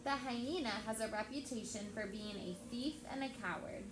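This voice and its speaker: Cautious, bookish young boy adventurer, read by a woman; earnest, fairly high storybook voice, echoey room. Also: moderate pace, natural voice. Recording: echoey room with background noise.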